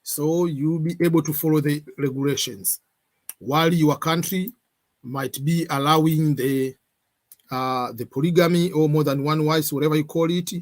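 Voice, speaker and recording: A middle-aged man answers viewers' questions on a livestream, speaking at a slightly raised pitch. He hesitates often, fills pauses with "uh," and moves between English and Swahili. He sounds like he is at a webcam in a room at home. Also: fairly even intonation. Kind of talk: casual live Q&A.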